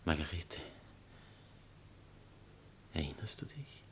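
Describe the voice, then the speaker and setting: An actor playing a pompous, theatrical writer and former lover, declamatory and sarcastic in a stage satire. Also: male voice, rumbling low, sing-song, takes it slowly, fairly bright tone, a little hiss or hum, exaggerated voice. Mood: wooing, intimate. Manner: declamatory, sarcastic, self-important. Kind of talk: stage satire acting in literary German.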